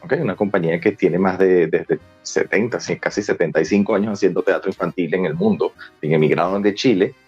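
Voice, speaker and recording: A man's voice: low voice, brisk, hardly any pauses, a little mellow, slightly rough, dull audio, soft background music. A Venezuelan man in his forties, talkative and colloquial, telling stories casually as an interview guest. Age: 40s. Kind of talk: casual conversational storytelling.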